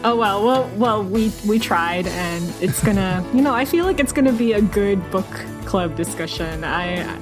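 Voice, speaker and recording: A woman co-hosting a podcast in a casual book chat, informal and quick to giggle. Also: mid-range voice, animated, music in the background. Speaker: podcast co-host.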